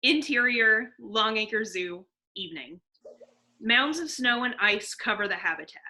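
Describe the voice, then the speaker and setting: A woman's voice: on the high side, lively intonation, a little slow, pushed and bright, rough recording. A woman reading scripted stage directions as narrator at an online table read. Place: online video call.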